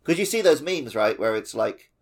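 A man chatting casually as a podcast co-host, joking and getting animated in an informal way. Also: mid-range voice, normal speed. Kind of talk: casual chat.

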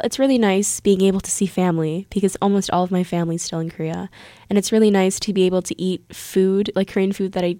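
A woman's voice: medium pitch, warm tone, no echo, clean studio sound. A young American woman hosting a podcast, telling a story in a chatty, casual way, full of fillers and restarts. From United States. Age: young adult. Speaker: podcast host. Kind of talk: casual bilingual monologue, Korean then English.

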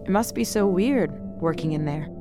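Low-voiced hurt woman played by an actress. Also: expressive, moderate pace, lightly acted. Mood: hesitant, hurt. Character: hurt, quietly challenging woman, a ship's cook. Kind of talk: audio drama acting. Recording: music under the voice.

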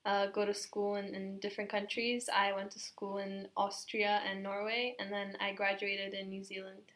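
A young woman from Romania, a university student guest, speaking in a measured, reflective way. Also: medium pitch, flat delivery, a bit bright. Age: young adult. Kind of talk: casual chat.

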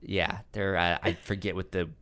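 A man's voice: low-pitched, little pitch movement, moderate pace, a bit bright, smooth. A man co-hosting a podcast in casual discussion of comics, with a stutter that repeats sounds and words. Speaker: podcast co-host.

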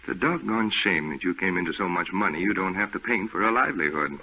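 A man playing a hearty, reassuring friend who talks in a brisk, coaxing way, in 1940s radio acting. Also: low-pitched, lively intonation. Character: hearty, reassuring male friend, middle-aged. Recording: tinny old radio broadcast with faint hiss.

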